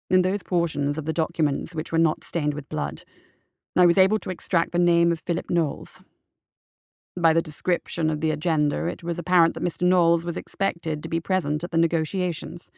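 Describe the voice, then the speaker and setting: A woman reading as a haunted private investigator writing home, formal, sombre and measured, in an audio drama. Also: on the low side, gravelly, darkish tone, no room sound, studio quality. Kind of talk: audio drama letter narration.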